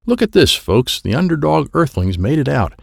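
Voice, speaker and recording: A brash alien game-show host acted by a man announces in an audiobook. He is grandiose and showy, with big swings of pitch in a dry, close sound. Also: big pitch swings, moderate pace, gravelly, slightly rough, dry recording, exaggerated voice. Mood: showy excitement. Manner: grandiose, exclamatory.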